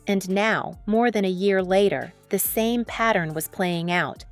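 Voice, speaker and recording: A woman's voice: mid-range voice, measured pace, clean tone. Woman narrating dramatic sports story over music.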